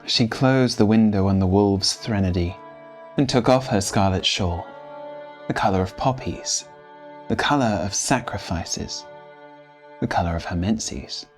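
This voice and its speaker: A man reading literary fiction as a storyteller, with measured, theatrical phrasing. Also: lowish voice, faint music behind. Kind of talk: dramatic literary narration.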